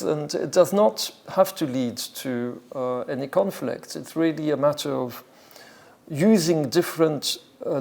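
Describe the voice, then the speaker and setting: Man lecturing haltingly, with heavily creaky voice. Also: mid-range voice, darkish tone. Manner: hesitant, halting, thinking aloud. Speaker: academic lecturer. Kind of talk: academic talk with extempore explanation.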